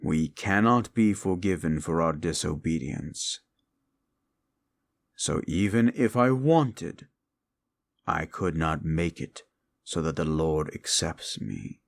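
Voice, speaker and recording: A man playing a haughty, seductive fallen-angel demon in an intimate audio roleplay, with a gravelly voice and archly formal, taunting delivery. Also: animated, takes it slowly, exaggerated voice. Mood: bitter, resigned. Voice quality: gravelly, creaky low voice. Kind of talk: intimate second-person audio roleplay acting.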